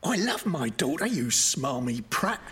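A man acting an emotional, blunt working-class convict in an audio drama, quick to anger, with a husky voice. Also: on the high side, big pitch swings, fairly bright tone, slight background noise. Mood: shocked, indignant. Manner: emotional, blunt, colloquial speech.

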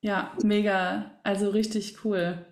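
Woman, podcast host, casual interview, brisk and enthusiastic, echoey room. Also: medium pitch, flat delivery. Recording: roomy echo.

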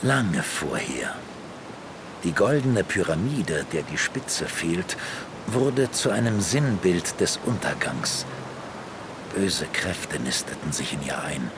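A grave ancient magician with a very deep, slow voice. He speaks solemnly and cryptically in a fantasy radio play, over a clear rumbling noise. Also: very animated, full character voice. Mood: solemn, urgent. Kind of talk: German fantasy audio drama acting.

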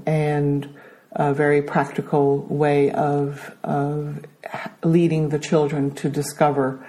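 A middle-aged woman with an American accent, a school principal answering interview questions with many hesitations. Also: deep voice. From Brazil. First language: Danish. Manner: hesitant, many ums, warm about her work.

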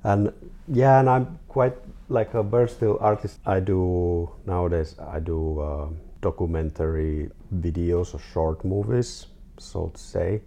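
A 42-year-old man from Finland with a Finnish accent, talking casually and haltingly as an interview guest. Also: sits low, fairly even intonation, vocal fry, warm tone. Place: artist's studio.

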